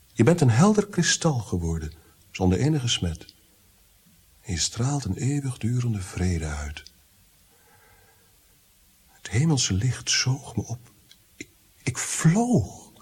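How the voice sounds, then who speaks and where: A husky, tormented, theatrical prince. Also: male voice, medium pitch, heavily acted. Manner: theatrical, swinging between hushed awe and agitated outbursts. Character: brooding, tormented nobleman prince, haunted by guilt and visions. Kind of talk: Dutch radio drama acting.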